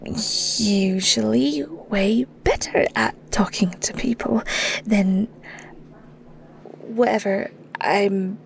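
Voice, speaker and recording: Woman acting a bashful, slow-talking Scottish shepherdess. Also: medium pitch, creaky. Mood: flustered, smitten. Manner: bashful, stammering, rambling, giggly. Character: flustered, sweet young shepherdess with a shy crush. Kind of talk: intimate audio roleplay acting.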